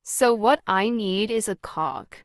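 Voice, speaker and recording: Storybook witch, performed by a woman: bossy, excitable, exclamatory, medium pitch, taking her time. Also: takes it slowly, dry recording, studio quality. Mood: pleased. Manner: exclamatory, emphatic. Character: excitable witch, fussy and bossy pet owner.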